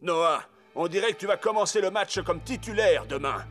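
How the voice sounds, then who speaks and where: A man's voice: high-pitched, moderate pace, fairly bright tone. An actor in a French cartoon dub playing a worried male football coach with a fretful, questioning manner. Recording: music behind.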